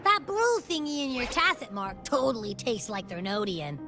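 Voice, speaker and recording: A woman's voice: fairly high pitch, sing-song, moderate pace, music in the background. A woman voicing a small, cheeky, gluttonous spirit companion in a video game, playful and boastful.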